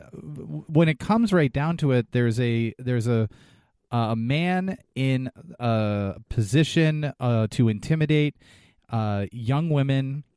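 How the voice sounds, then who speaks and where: A man interviewing someone conversationally, halting and searching for his words as he puts his questions. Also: medium pitch, darkish tone, clean tone, dry recording, studio quality.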